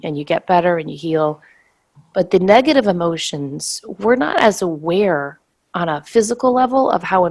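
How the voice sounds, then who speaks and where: A woman hosting a spiritual talk podcast, hoarse and scratchy from a cold, explaining things in a reflective, gentle way. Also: mid-range voice. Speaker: podcast host.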